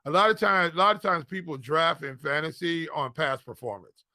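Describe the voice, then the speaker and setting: Man, fairly high pitch, sharp bright tone, casual sports analysis, informal slang, repeated phrases. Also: normal speed, dry recording. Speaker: fantasy football podcast co-host. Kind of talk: casual sports chat, giving picks and analysis.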